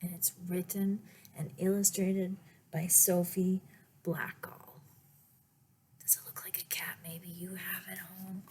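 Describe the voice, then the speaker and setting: Slow-paced woman reading a children's storybook. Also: on the low side, breathy edge. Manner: deliberate, expressive, pausing to show the pictures. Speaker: librarian reading a picture book for story time. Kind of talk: read-aloud children's storytime, with asides to listeners.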